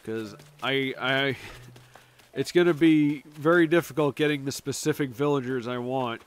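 A man's voice: mid-range voice, measured pace. A man casually commenting as he plays a game on a stream, jokey and talking to himself, a bit sniffly from allergies. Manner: chatty, jokey, talks to himself and game characters. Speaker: gaming streamer.

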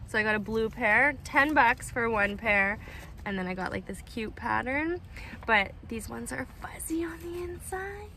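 Casual woman vlogger, slightly high voice. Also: animated, unhurried, bright, forceful tone, slight background noise. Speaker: family vlogger, mother of young children. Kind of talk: casual chat to camera. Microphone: phone at arm's length.

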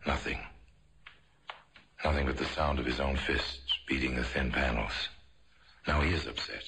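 Extremely deep-voiced crime storyteller. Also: male voice, steady tone, creaky. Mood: brooding, suspenseful. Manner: measured, dramatic, suspenseful. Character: brooding, sardonic male crime storyteller. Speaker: narrator. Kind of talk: dramatic scripted radio narration. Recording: very noisy old radio recording.

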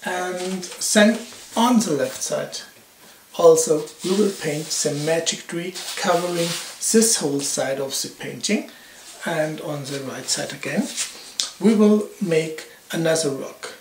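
A man in his forties presenting his paintings in a casual vlog, speaking in a halting, unhurried way. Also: on the high side, slight background noise. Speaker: hobby painter presenting own artwork. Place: indoor room at home. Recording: roomy echo with music behind. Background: music.

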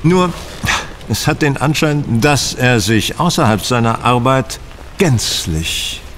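An analytical gentleman detective in an audio drama. He is decisive and authoritative, with an animated, mid-pitched delivery over faint ambient sound. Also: slow pace, a little hiss or hum. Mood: analytical, intrigued. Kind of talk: German audio drama acting.

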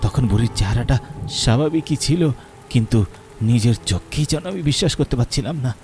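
A man's voice: medium pitch, animated, a little fast, darkish tone, slight background noise. Dramatic male horror narrator. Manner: dramatic, fearful, stammering.

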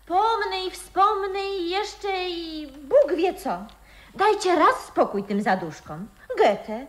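Very high, girlish flighty young actress. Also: lively intonation, slow pace, a bit bright, full character voice. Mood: mocking. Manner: chattering, girlish. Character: flighty, vain, teasing young actress granddaughter. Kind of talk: radio play acting.

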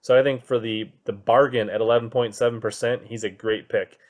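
A man's voice: mid-range voice, fairly even intonation, brisk. A man in his thirties hosting a fantasy fishing podcast, giving casual, opinionated picks with many ums, recorded at a desk in a small room. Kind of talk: casual picks breakdown over screen share.

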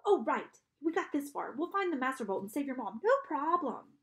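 A woman's voice: sits high, big pitch swings, moderate pace, a bit bright, pitched above the performer's own voice, full character voice. A woman voicing a nervous, eager-to-please young male sidekick in an audiobook, hesitant and falsely cheerful. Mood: forced cheer.

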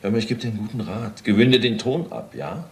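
A man's voice: on the low side, fast, a little mellow, far from the mic. A man acting a surly, domineering, suspicious ex-convict in a crime radio drama, cocky and menacing. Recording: echoey room with clear background noise.

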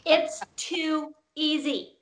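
A woman speaks on a panel from a home room through her webcam. She is emphatic and opinionated. Her voice is high and bright. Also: fairly even intonation, drawn-out words. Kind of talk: casual panel discussion.

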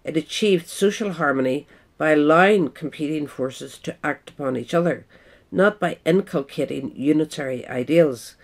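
Male narrator, slightly high voice, formal and measured delivery for a written essay. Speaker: reader.

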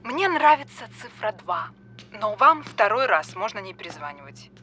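A woman's voice: medium pitch, very animated, normal speed, sharp tone, soft background music. A woman acting in an audio drama as a callous, flippant, fame-hungry character on a phone call, mocking and breezy. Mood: dismissive.

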